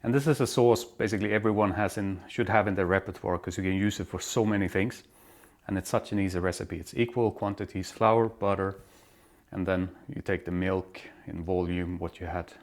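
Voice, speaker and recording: A man with a fairly low voice hosts a home cooking show from his kitchen. He explains each step calmly and plainly, as if talking a friend through the recipe. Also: brisk. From Belgium. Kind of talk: casual cooking demonstration.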